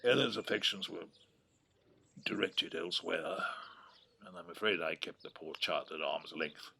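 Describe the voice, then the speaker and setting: An actor playing a wry, wistful old English grandfather in an audio drama, rambling affectionately in a gravelly, husky voice. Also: sits low, moderate pace, pushed and bright, heavily acted. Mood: reflective, wistful. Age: 70s or older. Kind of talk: naturalistic audio drama acting. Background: birdsong.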